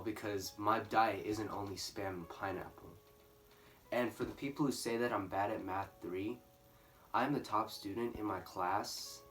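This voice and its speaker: Brief: earnest teen schoolboy, lowish voice, recorded from a distance over soft music. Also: male voice. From California. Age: teens. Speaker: student. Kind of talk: speaking to camera for a school video. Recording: distant mic, faint music behind.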